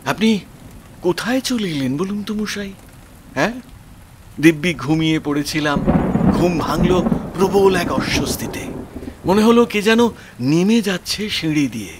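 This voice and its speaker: Casting: fussy, grumbling sleepy gentleman, slightly high, storm noise behind, audio drama. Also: lively intonation, unhurried, pauses often. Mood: flustered. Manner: exclaiming, fussy. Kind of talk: audio story acting. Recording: rain and thunder noise behind. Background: rain and thunder.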